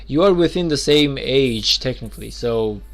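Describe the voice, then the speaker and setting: A man's voice: mid-range voice, animated, normal speed. A man in his twenties chatting loosely while streaming a game, rambling with lots of fillers and restarts. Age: 20s. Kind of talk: casual live-stream chat.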